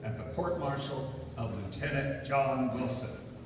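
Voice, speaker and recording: A man's voice: mid-range voice, a little slow, background noise, lightly acted. A man playing a dramatic, portentous announcer of a 1940s radio mystery show. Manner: theatrical, portentous. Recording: echoey hall from far off, music behind.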